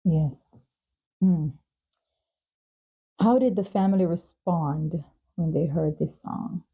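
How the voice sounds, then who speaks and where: A woman's voice: fairly low pitch, slow, creaky, breathy edge, narrow, tinny audio. A woman hosting a podcast interview, asking questions and responding to her guest. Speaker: talk show host.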